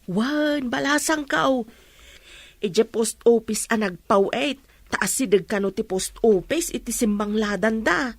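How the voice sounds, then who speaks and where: A kindly older village woman in a radio drama. Her voice is very high and sounds close and dry. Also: unhurried, no echo.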